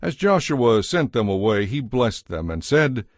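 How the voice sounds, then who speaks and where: A man narrating an audio Bible from a script in a measured, deliberate, formal way. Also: mid-range voice, sing-song, dry recording, studio quality.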